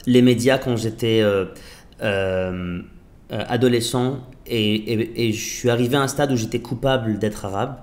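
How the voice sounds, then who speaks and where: A man's voice: medium pitch, steady tone, normal speed, darkish tone. A man who grew up in Belgium, hosting a casual podcast interview and speaking in an earnest, reflective, slightly hesitant way. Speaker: podcast host. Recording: roomy echo.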